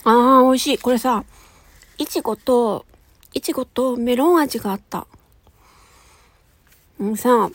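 A woman's voice: on the high side, dry recording. A woman chatting casually in a food-tasting ASMR style, murmuring short remarks between mouthfuls and at times dropping toward a whisper. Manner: talks while eating ice cream. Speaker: audio streamer.

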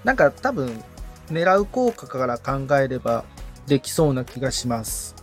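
Man asking tentatively, lively medium-pitched voice. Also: soft background music. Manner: tentative questioning, frequent quick backchannels. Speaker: assistant, podcast beginner asking questions. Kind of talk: casual consultation chat.

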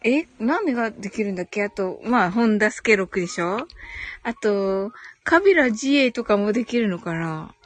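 A woman's voice: mid-range voice, animated, normal speed, soft background music. A woman hosting a casual live audio chat, answering listener comments in a giggly way, often repeating short phrases of agreement.

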